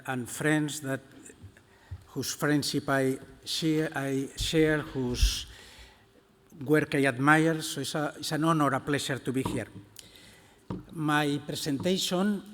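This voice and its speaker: An older man with a Spanish accent giving a conference keynote, reading slowly and deliberately with frequent hesitations. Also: medium pitch, fairly bright tone. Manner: slow and deliberate, with many hesitations. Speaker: keynote speaker. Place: large conference hall. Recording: echoey conference hall.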